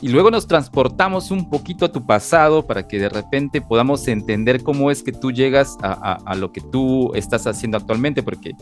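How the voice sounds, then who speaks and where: A man's voice: medium pitch, expressive, a little fast, hardly any pauses, soft background music. A man hosting a podcast interview, greeting listeners eagerly and sometimes repeating words as he goes. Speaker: podcast host.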